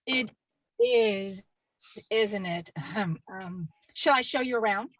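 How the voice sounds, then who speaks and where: A performer putting on a showy, high-maintenance beauty-queen woman, gushing over her home. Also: slow pace, a bit bright.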